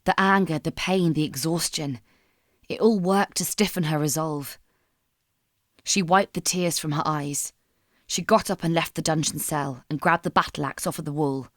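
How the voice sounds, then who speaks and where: A woman narrator reads dramatic fiction in a somber, measured way. Her voice sits a little low, and the recording is dry and close to room-free. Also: dry recording. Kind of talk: dramatic scripted story narration.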